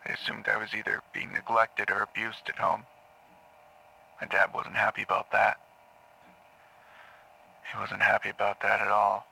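An actor playing a troubled man who haltingly recounts a tormented childhood to a therapist in an audio drama. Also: fairly low pitch, completely flat delivery, creaky, pushed and bright, slight background noise, full character voice, low-quality recording. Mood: bitter regret. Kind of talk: audio drama monologue on taped therapy session. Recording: old cassette tape playback.

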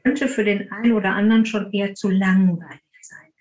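A woman's voice: mid-range voice, steady tone, brisk, a little mellow, dull audio. A woman speaking as a podcast guest, explaining her work in detailed, conversational answers. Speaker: podcast guest, paranormal investigator.

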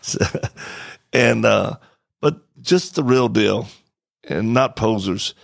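Gravelly, blunt-talking male podcast host. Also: lowish voice, takes it slowly, no echo, studio quality. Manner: joking, blunt and direct. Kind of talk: casual interview chat. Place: studio.

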